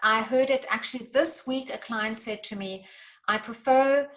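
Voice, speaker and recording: A woman's voice: on the high side, steady tone, moderate pace, fairly bright tone. A woman who is a native English speaker and a language coach, chatting casually with many fillers and restarts. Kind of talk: casual podcast chat.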